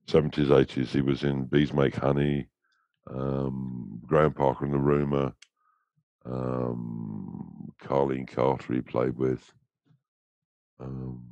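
English male musician, very deep, gravelly voice, rambling anecdotal chat. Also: a little mellow, no room sound, highs cut off. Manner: rambling, anecdotal, many restarts. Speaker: interview guest, musician. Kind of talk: casual chat.

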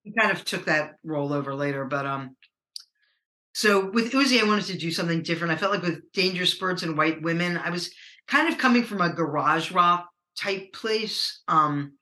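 A middle-aged American woman with a low voice, a musician talking casually in an interview, rambling and hesitant with many fillers. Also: moderate pace, bright, forceful tone, roomy sound. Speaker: musician guest.

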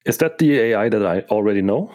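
A man's voice: lowish voice, animated, fast talker, darkish tone. A man playing a tabletop game, speaking casually. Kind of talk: casual banter.

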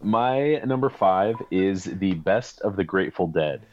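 A man's voice: sits low, lively intonation, unhurried, a little mellow, muffled recording. A man co-hosting a casual podcast while sick, coughing and losing his voice, talking in a laid-back, hesitant way. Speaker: podcast co-host. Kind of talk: casual chat.

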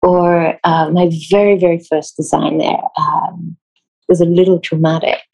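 A woman from Israel who works as a theatre designer answers interview questions in a thoughtful, measured way, pausing and correcting herself as she goes. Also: on the low side, flat delivery, gravelly, a little mellow, husky, low-quality recording.

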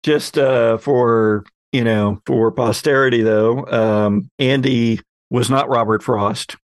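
A man talking casually as a podcast game master looking back, halting as he thinks aloud. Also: mid-range voice, steady tone, dry recording. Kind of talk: casual retrospective chat.

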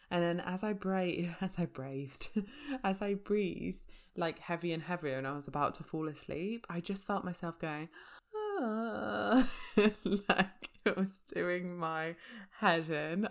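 A woman talks chattily to her podcast listeners. She laughs often, leans on fillers, and sounds slightly croaky from a sore throat. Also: lowish voice. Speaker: podcast host. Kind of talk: casual solo podcast talk.

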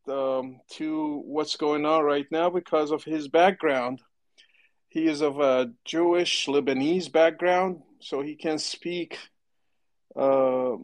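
A man hosting an online audio room, speaking slowly and haltingly and often groping for words. His voice is mid-pitched and sounds dry and close. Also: dry recording. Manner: hesitant, many fillers and restarts. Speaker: host of an audio chat room.

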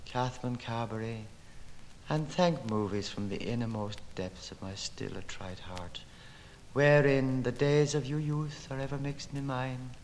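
A man's voice: mid-range voice, a little slow, close to an everyday voice. Theatrical male actor as a lyrical narrator of modernist prose, incantatory and declamatory, on a reverberant, faintly noisy old recording. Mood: solemn, lamenting. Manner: declamatory, incantatory, dramatic swells and hushed endings. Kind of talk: theatrical literary recitation from a record.

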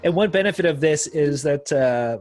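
A man's voice: on the high side, moderate pace, a little mellow, soft background music. A man in his thirties from South Korea, a pastor and guest on the call, chatting and joking easily. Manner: joking, laughs easily, conversational. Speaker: pastor and apologist. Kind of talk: casual interview guest.

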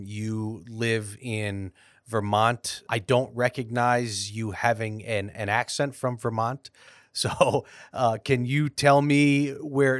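A man's voice: fairly low pitch, no echo, studio quality. A man hosting a company podcast, asking friendly, rambling questions and laughing easily. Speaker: podcast host. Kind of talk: conversational interview.

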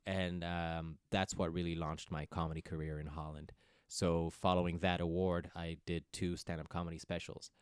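A man in his thirties who grew up in Ethiopia tells his story as a podcast guest. His voice sits very low and comes through smooth and resonant. The sound is close and dry, and he keeps the story animated and laughs often. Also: dry recording. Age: 30s. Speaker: guest comedian. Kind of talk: casual interview chat.

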